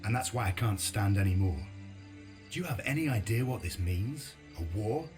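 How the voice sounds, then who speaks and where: An actor playing a weary, reluctant war veteran haunted by past fighting, in dramatic video game style. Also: male voice, on the low side, expressive, moderate pace, close to an everyday voice. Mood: weary, protesting. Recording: loud music under the voice.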